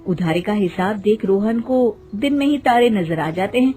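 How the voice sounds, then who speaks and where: A woman's voice: mid-range voice, animated, normal speed, mellow, faint music behind. A woman narrator reading a scripted moral tale.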